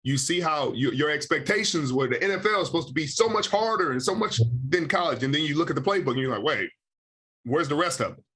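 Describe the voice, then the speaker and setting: A man in his thirties hosting a podcast interview, talking in a relaxed, slangy way with lots of "you know.". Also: fairly high pitch, fast, breathy edge, rough recording. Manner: laid-back, slangy, frequent "you know". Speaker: podcast host. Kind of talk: casual interview chat.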